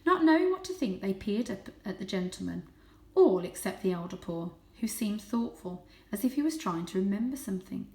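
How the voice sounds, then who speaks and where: A woman reading a children's story aloud as host, with expressive, dramatic and suspenseful narration. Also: medium pitch, a little fast. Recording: echoey room.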